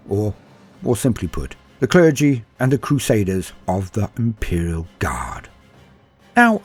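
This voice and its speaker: Man, husky low register, grand dramatic narrator, swooping intonation, scripted storytelling. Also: darkish tone. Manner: theatrical, grandiose. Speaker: narrator and host of a lore channel.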